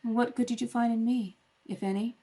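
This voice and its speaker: A high female voice, held back and formal: a woman acting a spoiled young society lady brought low. She speaks with subdued contrition and wounded pride, as in a homemade radio drama. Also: fairly even intonation, moderate pace, warm tone, close to an everyday voice. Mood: humbled, contrite. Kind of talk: amateur radio play read-through.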